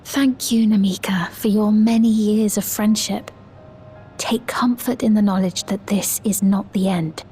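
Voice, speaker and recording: An actress playing a gentle, composed woman leader in a game cutscene, speaking formally and with measured reassurance. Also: medium pitch, steady tone, soft background music, close to an everyday voice. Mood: solemn, tender. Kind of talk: dramatic video game cutscene acting.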